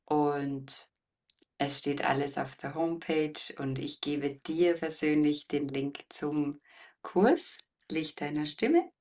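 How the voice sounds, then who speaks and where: A woman with a deep, warm voice, a guest voice coach explaining things reflectively and deliberately in conversation. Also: distant mic. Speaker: interview guest, voice coach and former singer.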